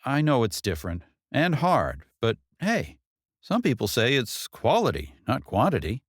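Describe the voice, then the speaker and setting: A man's voice: medium pitch, wildly swooping pitch, measured pace, no echo, clean studio sound, voice pushed up. A man voicing a confident, defensive grown daughter in a story, speaking in an earnest, pointed way. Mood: reassuring.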